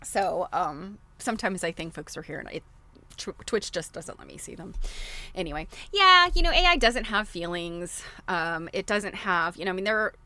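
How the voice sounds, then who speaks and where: A woman's voice: on the low side, sing-song, normal speed, bright, forceful tone, dry recording. A woman hosting a casual livestream, chatting to viewers in a rambling way as she thinks aloud. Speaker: livestream host.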